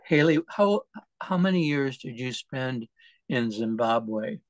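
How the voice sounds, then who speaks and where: A man's voice: mid-range voice, expressive, slow, dry recording, rough recording. A man interviewing a guest in conversation, bluntly pushing back with short remarks.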